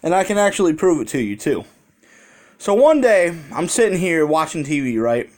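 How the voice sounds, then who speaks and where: An American man with a slightly high voice speaks as a casual storytime vlogger. He rambles conversationally and swears freely. He is recorded on a phone held in his hand. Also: very animated, moderate pace, a little mellow. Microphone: handheld phone.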